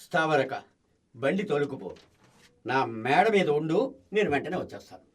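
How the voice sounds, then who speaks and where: A man's voice: a little high, sing-song, moderate pace, slightly rough. A man playing an imperious, sly, murderous schemer in a radio drama, commanding and gloating.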